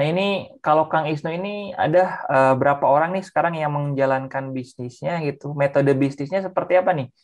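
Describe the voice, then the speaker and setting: Casual man hosting a chat. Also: a little high. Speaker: podcast host.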